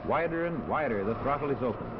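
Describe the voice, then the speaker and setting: A man narrating a 1940s educational film in a measured, instructional manner, on a muffled old soundtrack. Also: mid-range voice, animated, background noise. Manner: clear, measured, instructional delivery.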